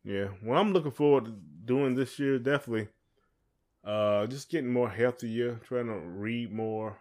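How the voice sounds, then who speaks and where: A man's voice: medium pitch, normal speed, a little mellow. A man chatting casually and colloquially as a podcast co-host. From southern United States. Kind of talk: casual chat with spouse.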